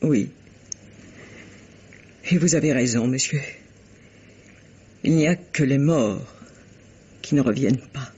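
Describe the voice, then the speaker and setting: A man's voice: medium pitch, slow pace, long pauses, mellow, breathy edge. A man voicing an anguished, dignified lady in a 1950s French radio mystery, formal, restrained and tragic. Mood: resigned. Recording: dull, muffled old radio sound.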